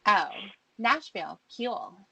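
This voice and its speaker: A woman's voice: medium pitch, lively intonation, takes it slowly, vocal fry, muffled recording, music in the background. A woman giving short, casual chat replies.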